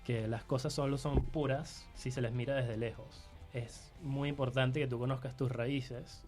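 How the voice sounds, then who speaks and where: A man's voice: medium pitch, steady tone, a little slow, darkish tone, soft background music. A man with a Venezuelan accent chatting casually as a guest contributor, often hesitating and repeating words as he goes. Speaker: guest contributor on identity and multiculturalism. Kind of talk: casual chat.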